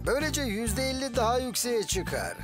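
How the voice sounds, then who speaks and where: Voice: medium pitch, sing-song, moderate pace, music in the background, lightly acted. An actor voicing an enthusiastic amateur inventor, a grown man, in a Turkish cartoon dub, earnest and eager. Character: enthusiastic, apologetic adult male amateur inventor.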